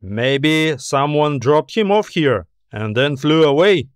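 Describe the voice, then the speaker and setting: A grim, self-assured space colonel, voiced by a male audiobook narrator. He reports formally and confidently at an unhurried pace, with a slightly raised male pitch. The recording is dry and close. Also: animated, clear, resonant tone, dry recording.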